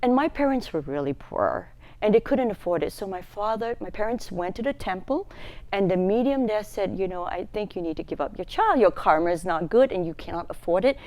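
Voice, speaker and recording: A woman's voice: medium pitch, lively intonation, brisk, a little mellow. A woman from Malaysia, a charity founder, telling her life story as a guest in a TV studio, laughing often. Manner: chatty, laughs often while telling stories. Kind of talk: casual interview storytelling. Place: local TV studio.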